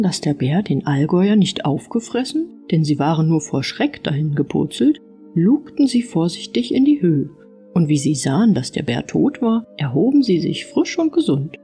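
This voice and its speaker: A woman narrating a fairy tale audiobook in an expressive storytelling style. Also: lowish voice.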